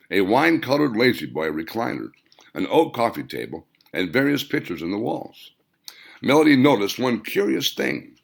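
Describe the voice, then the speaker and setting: A man narrates an audiobook in a low-pitched voice with a noticeable creak at the edges. His delivery is dramatic and emphatic, rising and falling widely as he reads his own fiction. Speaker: author reading own novel.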